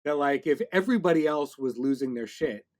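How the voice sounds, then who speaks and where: A man with a slightly high voice hosts a relaxed, conversational interview. He puts questions and comments informally, with a wry, dry sense of humour. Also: a little fast. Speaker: podcast interviewer.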